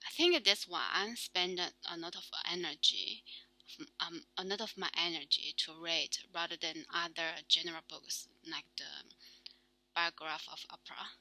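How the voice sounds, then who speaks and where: Young Chinese-accented woman, slow hesitant solo talk, fillers and restarts, somewhat low voice. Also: pushed and bright, no room sound, rough recording. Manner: halting, many fillers and restarts. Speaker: solo talk show host. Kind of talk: casual spoken practice monologue.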